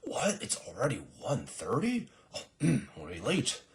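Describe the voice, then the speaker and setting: A man voicing a carefree, oblivious king who talks loudly to himself, pleased with himself. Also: lowish voice, animated, a little slow, pitched above the performer's own voice. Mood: surprised. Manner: loud, self-talking. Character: carefree, oblivious, self-satisfied male king.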